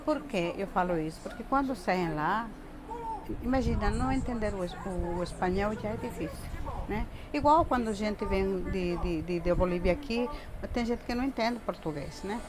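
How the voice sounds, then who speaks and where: Older Bolivian woman, halting speech. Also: mid-range voice, animated, poor audio. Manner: halting, repeats words, frequent tag questions. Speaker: interviewee. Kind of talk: casual interview talk.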